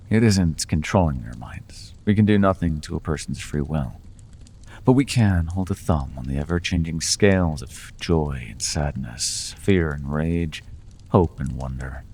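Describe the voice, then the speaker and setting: A man's voice: a bass voice, gravelly, a little mellow, in a large hall, full character voice. A man voicing a charming, persuasive male Fae who makes deals, with playful, coaxing salesman patter in an ASMR roleplay. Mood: conspiratorial.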